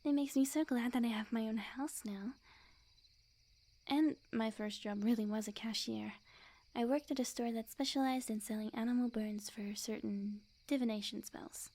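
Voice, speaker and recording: Woman playing a lovestruck yandere witch, ASMR roleplay, soft breathy half-whisper, mellow tone, fairly high pitch, teasing and possessive. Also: steady tone, normal speed. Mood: chatty, smug. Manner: soft breathy near-whisper, sweetly teasing with quiet menace. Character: sweet, possessive young witch, obsessively lovestruck and smug. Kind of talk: ASMR roleplay, intimate scripted monologue to the listener.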